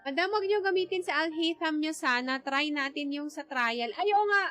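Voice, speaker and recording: High-voiced Filipina game streamer. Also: female voice, a little fast, a bit bright, soft background music. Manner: code-switching between English and Tagalog. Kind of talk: casual live playthrough commentary.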